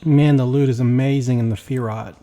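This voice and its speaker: A man with a slightly raspy, weak voice, tired after work, chatting casually while playing a game. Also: medium pitch, a little fast. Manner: rambling, relaxed, talks while playing. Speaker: gaming YouTuber. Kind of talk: casual gameplay commentary.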